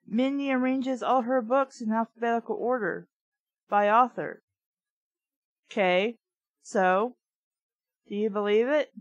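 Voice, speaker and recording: A woman's voice: fairly high pitch, steady tone, unhurried, pauses often, no room sound, muffled recording. Young woman with dry, close sound, casual and blunt. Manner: blunt, opinionated, swears freely. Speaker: host reading a children's book aloud with commentary. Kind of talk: casual commentary interspersed with read-aloud.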